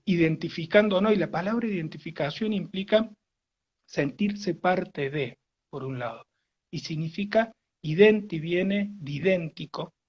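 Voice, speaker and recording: A man's voice: on the high side, breathy edge, lo-fi sound. A man with an Argentine Spanish accent giving a virtual lecture, explaining with many fillers and rephrasings.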